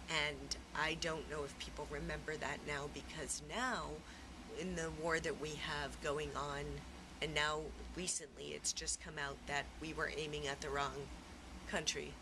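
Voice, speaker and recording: A middle-aged woman giving a reflective, personal interview, speaking haltingly as she searches for her words. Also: sits low. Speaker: theatre performer being interviewed. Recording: clearly noisy room recording.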